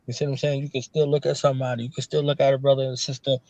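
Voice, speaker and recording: A man's voice: medium pitch, level tone, very fast, darkish tone, no echo. A man hosting an interview, talking in an encouraging way and often asking "you see what I'm saying?". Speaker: interview host. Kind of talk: conversational interview.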